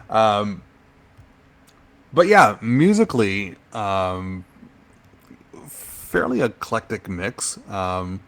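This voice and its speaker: A middle-aged man co-hosting a casual music podcast, talking in a thoughtful, measured way with frequent pauses and ums. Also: on the low side. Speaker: podcast co-host.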